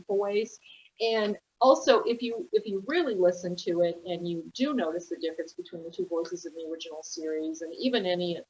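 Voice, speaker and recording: A woman's voice: medium pitch, muffled recording, slight background noise. A woman on a casual panel discussion, talking as an enthusiastic fan and searching for words. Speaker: panel guest.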